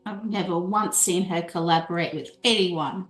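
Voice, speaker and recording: A woman's voice: on the low side, fairly even intonation, normal speed, reverberant. A woman playing a tabletop role-playing game, chatting casually about her rolls and her character. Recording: music behind the voice.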